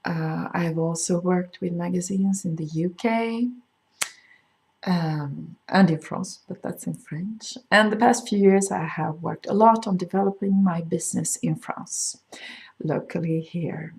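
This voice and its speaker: A middle-aged woman with a Swedish accent, a crochet designer talking casually to camera and explaining things with frequent pauses and fillers. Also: lowish voice, darkish tone. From Sweden. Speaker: crochet designer hosting a video podcast. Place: home in France.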